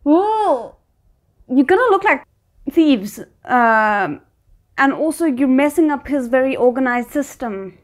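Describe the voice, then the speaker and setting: Woman with a highish voice doing reaction commentary, chatting back at the screen. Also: lively intonation, takes it slowly. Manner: talks back to the characters on screen. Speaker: reaction video host.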